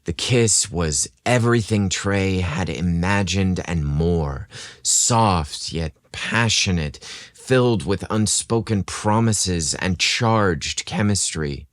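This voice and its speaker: A man narrating a scripted romance story in a slow, deliberate way, as a podcast host and storyteller. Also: sits low, no room sound.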